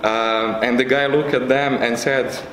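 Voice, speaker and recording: A man shares a casual story in a halting way. His voice is medium in pitch, with room echo and faint noise behind it. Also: steady tone, fairly bright tone. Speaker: youth network member. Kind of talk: casual anecdote.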